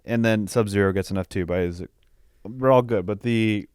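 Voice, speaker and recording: A man's voice: lowish voice, moderate pace, a little mellow, smooth, no echo, clean studio sound. A man co-hosting a podcast, talking casually and feeling his way through his opinions. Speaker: podcast co-host. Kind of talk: casual movie review chat.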